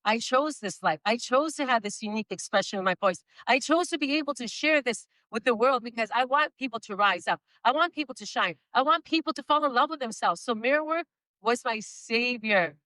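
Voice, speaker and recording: A woman with a slight Spanish accent, Spanish her first language, delivers an emphatic motivational speech in a high, bright voice. She stretches out her key lines and laughs lightly between points. The sound is dry and close. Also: animated, a little fast, dry recording, poor audio. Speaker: motivational speaker. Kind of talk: inspirational stage speech.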